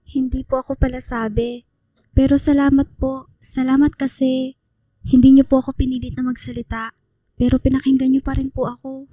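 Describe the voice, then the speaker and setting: An actress playing a shy, polite young female student in a radio drama, soft-spoken and hesitant. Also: sits high, little pitch movement, normal speed, long pauses, no room sound, rough recording. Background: music under the voice.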